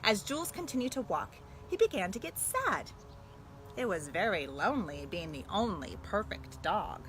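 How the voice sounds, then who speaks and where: An American woman, a school librarian, reading a picture book aloud to young children in an expressive way. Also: medium pitch, normal speed, faint music behind. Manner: animated, expressive delivery aimed at young children. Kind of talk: read-aloud storytelling for children. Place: at home. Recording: noisy home video with background sounds.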